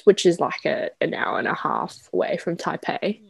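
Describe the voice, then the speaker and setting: A young woman, a guest telling stories to a friend in an animated, mock-dramatic way. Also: fairly low pitch, moderate pace, gravelly, husky, dry recording. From Taiwan, raised in New Zealand from age seven. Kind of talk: casual chat with a friend.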